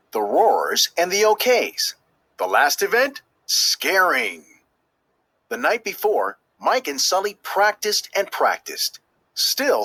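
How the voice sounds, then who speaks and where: A man reading a children's story aloud as scripted narration, with expressive, emphatic delivery that draws out key words. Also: fairly high pitch, breathy edge, no echo. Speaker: storybook reader.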